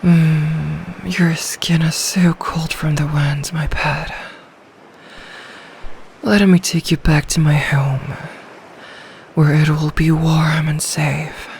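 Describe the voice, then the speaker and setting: A woman voicing a lonely, ancient female sea dragon in an intimate ASMR roleplay, soft, regal and possessive, doting with quiet menace. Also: low voice, fairly even intonation, slow pace, gravelly, slight background noise, heavily acted. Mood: tender, soothing.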